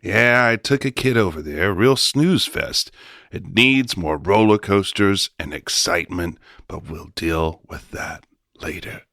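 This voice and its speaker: A man's voice: low voice, measured pace, creaky, bright, forceful tone, no echo, full character voice. A man acting as a scatterbrained, self-important male studio executive in a comic radio drama, blustering and dismissive. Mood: musing, dismissive.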